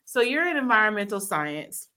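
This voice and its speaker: A woman's voice: mid-range voice, very animated, normal speed, pushed and bright. A woman hosting a casual interview, chatty and laughing often, who spoke Korean first as a child. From raised in a military family. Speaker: host.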